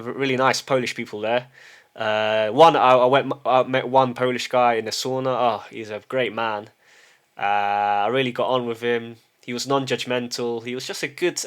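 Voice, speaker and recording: A man's voice: medium pitch, moderate pace, a bit bright, smooth. A middle-aged man talking casually to camera in a loose monologue. He hesitates, repeats words as he restarts, and often checks in with a questioning "yes?". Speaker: vlogger. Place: lives in a small town.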